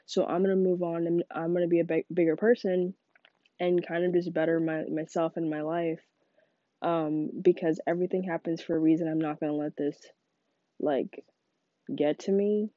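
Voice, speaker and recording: A woman's voice: fairly low pitch, fairly even intonation, normal speed, dry recording, phone-quality sound. A young woman with a stuffy, congested voice, talking in a rambling casual monologue full of "like" fillers. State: congested from a cold. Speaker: podcast host.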